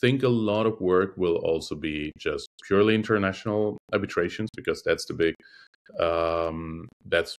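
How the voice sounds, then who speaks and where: A deep-voiced man whose first language is Russian answers interview questions. He speaks in a measured, thoughtful way and often pauses to find the right word. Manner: measured, thoughtful, frequent hesitations. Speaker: interview guest, arbitration lawyer. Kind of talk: conversational interview.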